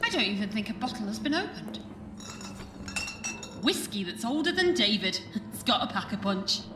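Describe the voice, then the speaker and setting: A woman's voice: mid-range voice, very animated, normal speed, natural voice. An actress playing a tough, sardonic, embittered woman survivor in an audio drama, speaking bluntly. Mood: wry. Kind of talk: full-cast audio drama acting. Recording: roomy sound with music behind.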